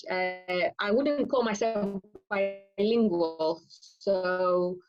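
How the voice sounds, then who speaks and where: A woman answering interview questions in English, measured and thoughtful with some ums. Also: mid-range voice, soft background music. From Greece. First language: Greek. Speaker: guest translator. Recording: muffled call audio.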